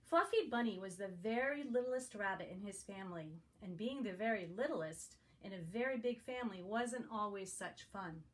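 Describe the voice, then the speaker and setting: A woman's voice: medium pitch, animated, normal speed, hardly any pauses. A woman reading a picture book aloud to young children as a guest reader. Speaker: guest story reader for preschoolers.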